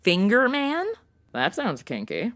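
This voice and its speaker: A woman's voice: mid-range voice, wildly swooping pitch, takes it slowly, fairly bright tone, exaggerated voice. A woman playing a brash, ditzy young American in a comedy audio drama, sassy, dramatic and slangy. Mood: wry.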